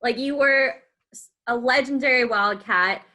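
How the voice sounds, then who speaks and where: A woman's voice: on the high side, measured pace, pushed and bright. An American woman hosting a podcast interview in a casual, conversational way. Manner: frequent listening responses. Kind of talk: casual chat.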